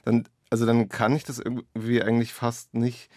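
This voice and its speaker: A man's voice: medium pitch, completely flat delivery, normal speed, darkish tone, dry recording, studio quality. A man speaking as an author in an interview, reflecting in a searching, winding way on his own writing. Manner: tentative, searching, winding sentences.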